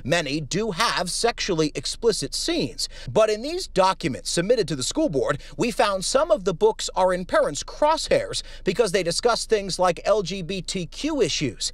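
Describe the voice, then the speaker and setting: A man's voice: high voice, big pitch swings, normal speed, few pauses, no room sound. An American man narrating a scripted TV news report as a reporter.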